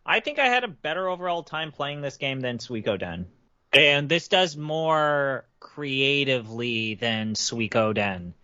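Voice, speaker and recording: A man's voice: medium pitch, lively intonation, a little slow, pushed and bright, smooth, no room sound. A man co-hosting a casual podcast chat, emphatic and blunt, swearing freely. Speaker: podcast co-host. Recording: dry, dull lo-fi podcast audio.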